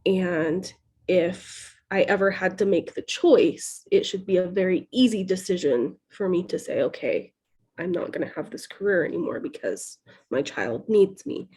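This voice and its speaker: A woman from California speaks candidly as a podcast guest. Her talk is halting and loose, packed with "like" and "um," and she laughs often as she goes. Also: on the low side, expressive, a little mellow, husky. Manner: hesitant, full of "like" and "um", laughing often. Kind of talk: candid casual interview talk.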